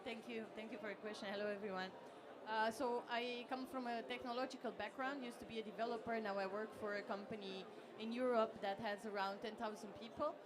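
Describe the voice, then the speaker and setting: A woman's voice: a little high, fairly even intonation, brisk, crowd noise. Hesitant woman speaking on a panel. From Romania. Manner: hesitant, many fillers and restarts. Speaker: panelist, tech executive. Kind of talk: conference panel answer.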